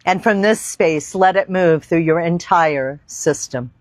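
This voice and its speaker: A woman teaching yoga, leading a guided meditation in deliberate, reverent phrases with long pauses. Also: fairly low pitch, no echo. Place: outdoor park.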